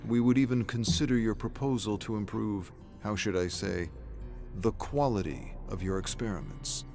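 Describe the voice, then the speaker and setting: A man voicing a formal, secretive company officer in a dramatic story, speaking politely and with careful, measured phrasing. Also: fairly low pitch, darkish tone, soft background music, close to an everyday voice. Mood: persuasive.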